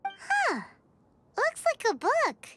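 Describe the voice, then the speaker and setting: A woman's voice: very high voice, huge pitch swings, drawn-out words, bright, forceful tone, slight background noise, exaggerated voice. A woman playing a tiny, childlike fairy companion in a video game, chatty, bubbly and given to exclaiming. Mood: curious, chirpy. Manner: refers to self in third person, bubbly, exclaiming. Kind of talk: video game character acting. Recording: music playing behind the voice.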